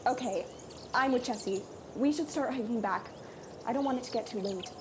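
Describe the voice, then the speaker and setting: A young woman playing a cautious, wondering high school girl in an audio drama, gentle and measured. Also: lightly acted. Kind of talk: naturalistic audio drama acting. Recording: noisy outdoor recording with birds. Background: birdsong, forest ambience.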